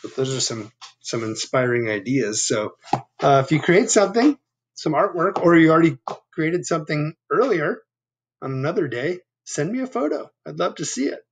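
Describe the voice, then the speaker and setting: Man, fifties, children's read-aloud, conversational, instructive, lively intonation, faint noise. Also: on the high side, moderate pace. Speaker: storyteller reading a picture book to children. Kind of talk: read-aloud with explanatory asides. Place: small room at home.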